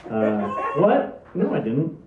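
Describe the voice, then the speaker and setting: A man's voice: fairly high pitch, huge pitch swings, slow, over music, heavily acted. A man reading the part of a sensible, exasperated son, with dry and hesitant delivery. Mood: awkward, exasperated. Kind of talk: amateur table read from script. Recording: tinny echoey distant camera audio.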